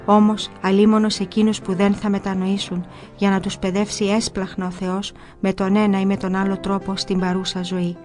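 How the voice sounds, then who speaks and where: A sorrowful, kindly monastery elder read by a woman in a spoken story. The admonitions are calm and grave. Soft music plays faintly underneath. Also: mid-range voice, natural voice. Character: gentle male monastery elder, sorrowful and compassionate. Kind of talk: storytelling quotation.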